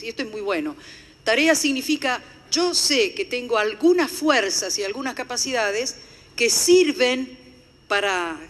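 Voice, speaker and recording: A woman's voice: mid-range voice, a bit bright. An older woman from Italy with an Italian accent, giving a formal lecture to a conference audience. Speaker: conference speaker. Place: conference hall. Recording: echoey hall.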